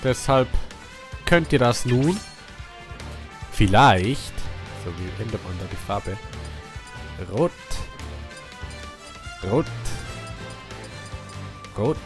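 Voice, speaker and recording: A young man with a cold, casually commentating a video game he is playing, jumping between excited outbursts and quiet mumbling. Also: very slow, long pauses. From Austria. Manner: excitable, swings between hyped shouts and low mumbling. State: congested from a cold. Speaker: gaming channel host. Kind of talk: casual let's play commentary. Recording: game music playing behind.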